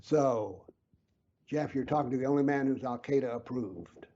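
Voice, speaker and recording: Emphatic man, mellow voice, dull lo-fi sound. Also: moderate pace, slight background noise. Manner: earnest, emphatic, drops to a low whisper for emphasis. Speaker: interview guest, author. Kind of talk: conversational interview explaining his views.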